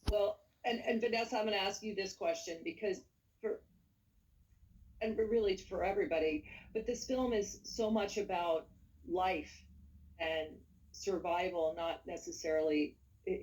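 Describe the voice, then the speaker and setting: A woman moderating a panel Q&A, introducing guests and asking questions, affirming with frequent mm-hmms. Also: on the low side. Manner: warm affirming listener, frequent mm-hmm. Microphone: video call. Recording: distant video call audio.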